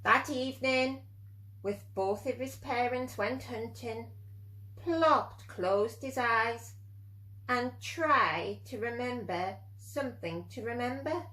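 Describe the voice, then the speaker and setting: A woman reading a storybook expressively to young children, pausing to explain things simply. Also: fairly high pitch, pushed and bright, rough recording.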